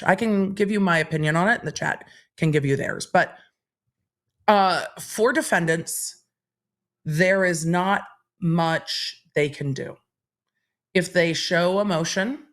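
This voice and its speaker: Woman streamer, casual and candid, explains legal stuff in a relaxed way. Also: on the low side. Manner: chatty and candid, answering questions in an even, explaining way. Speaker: legal commentary livestream host. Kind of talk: casual livestream chat reading viewer questions.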